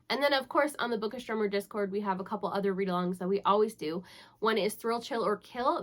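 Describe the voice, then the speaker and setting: A woman's voice: medium pitch, a bit bright. A young woman with a congested voice from the flu, chatting casually and upbeat to camera about her reading plans. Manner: chatty, upbeat, self-teasing. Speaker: book vlogger.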